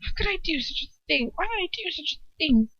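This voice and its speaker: A woman voicing a cruel, manipulative witch aunt who swings between menacing shrieks and soft coaxing in an amateur storybook reading. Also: high voice, lively intonation, a little fast, no room sound, lo-fi sound, pitched above the performer's own voice. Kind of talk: amateur fiction read-aloud.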